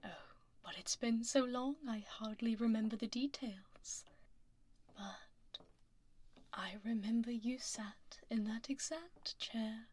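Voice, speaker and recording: A woman voicing a powerful dragon lady executive in a soft, whispery ASMR roleplay, poised and condescending, politely menacing, close on a binaural mic. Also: fairly high pitch, husky. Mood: teasing, probing. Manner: polished, slow, politely menacing. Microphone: close binaural ear microphone.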